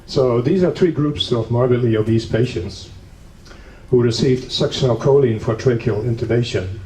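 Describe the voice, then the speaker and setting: A man's voice: medium pitch, moderate pace, dark tone. A man from the Netherlands giving an academic conference lecture, explaining steadily with a touch of dry humor. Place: conference hall. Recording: echoey hall, distant mic, faint noise.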